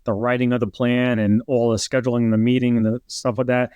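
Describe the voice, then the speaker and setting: A middle-aged man with a New York accent tells stories in a loose, rambling way, dropping "like" all through and slipping into quick impressions of what people said. His voice sits at an ordinary pitch. The sound is close and dry, with no room around it. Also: flat delivery, darkish tone, clean tone, no echo, muffled recording. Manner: rambling, heavy use of "like", acts out quotes. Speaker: retired fire captain, guest. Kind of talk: casual podcast storytelling.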